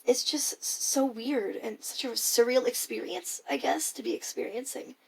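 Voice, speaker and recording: A woman's voice: a little high, normal speed, gravelly, breathy edge, poor audio. A teenage girl recording a casual, reflective audio diary, musing hesitantly and trailing off at times. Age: teenager, high school freshman. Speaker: personal audio diarist.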